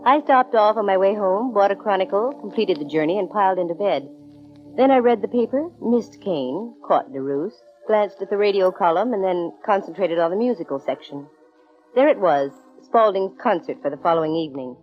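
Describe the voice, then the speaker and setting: A woman's voice: medium pitch, animated, moderate pace, faint music behind, natural voice. A woman playing a wry, self-assured young private detective in 1940s radio drama style, with dry, breezy delivery. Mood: wry narration. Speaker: lead actress in radio detective series. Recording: dull vintage radio recording.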